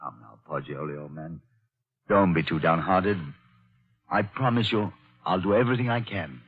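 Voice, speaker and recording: An actor playing a loyal, earnest gentleman friend in a 1940s radio drama, stammering as he reassures. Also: low voice, moderate pace, natural voice. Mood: reassuring. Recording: dull old radio broadcast.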